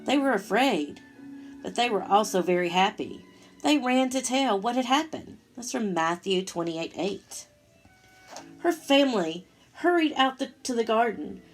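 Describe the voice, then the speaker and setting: A woman storyteller reading a picture book aloud to children in an expressive way, talking directly to her listeners. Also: a little high, measured pace, faint music behind. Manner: expressive, asks the listeners questions.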